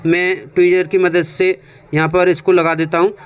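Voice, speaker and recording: A man's voice: high voice, level tone, moderate pace. A man with an Indian accent narrates a hands-on repair tutorial as a technician, explaining step by step in a conversational way and often repeating his instructions. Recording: clearly noisy background.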